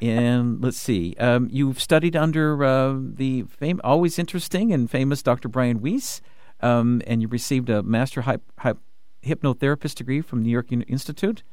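A man hosting a radio talk show from the studio, talking in an excited rush with many restarts and fillers. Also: mid-range voice, moderate pace, no room sound. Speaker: radio host.

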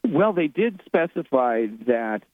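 A man's voice: a little high, big pitch swings, slow, no room sound. A man who is a guest on a radio interview speaks reflectively and often repeats words while he searches for the next ones. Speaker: guest, author and medium. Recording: tinny phone line.

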